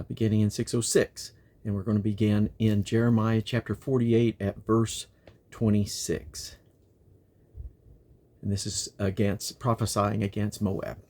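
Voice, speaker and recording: A man reading scripture aloud in a solemn, declamatory manner, with measured phrasing. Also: mid-range voice. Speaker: Bible reader. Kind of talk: reading scripture aloud, King James text.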